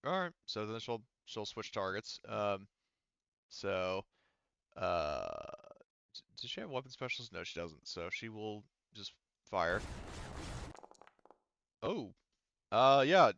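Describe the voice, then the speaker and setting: A man's voice: lowish voice, very animated, slow pace, pauses often, a bit bright, clean tone, no room sound. A man speaking casually and profanely as game master of a tabletop role-playing game, in slightly muffled audio.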